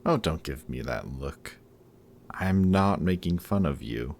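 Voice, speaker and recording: A man's voice: rumbling low, animated, slow, creaky, darkish tone, a little hiss or hum, exaggerated voice. A man acting a sarcastic, guarded villain in an audio roleplay, with a snarky, casual delivery that grudgingly shows care. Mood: dry, exasperated. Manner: snarky, dry, casual teasing.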